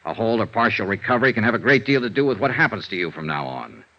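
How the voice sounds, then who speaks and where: Through a dull, lo-fi old radio recording comes a hard-boiled American investigator. His low voice moves briskly, blunt and pressing, in classic vintage detective-show style. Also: animated. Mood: stern, pressing. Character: tough, blunt, persistent insurance investigator, adult man. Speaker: radio drama actor.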